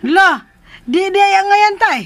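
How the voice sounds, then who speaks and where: A simple-minded mother in a radio drama, vain and childish. Her voice is high and whiny, and she drags her words out slowly. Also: animated, a bit bright, exaggerated voice. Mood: giddy, vain. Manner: childish, whining, drawn out.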